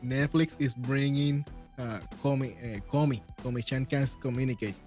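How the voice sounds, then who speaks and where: A man hosting a casual panel chat in English, telling stories in an animated way. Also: mid-range voice, moderate pace, soft background music. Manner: non-native English phrasing, animated storytelling. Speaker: show host.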